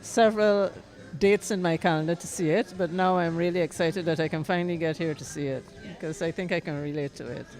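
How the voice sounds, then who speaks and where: A woman from Guyana, excited, answering an interviewer's questions before a play. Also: on the low side, a little fast, hardly any pauses, faint music behind, rough recording. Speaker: theatregoer being interviewed.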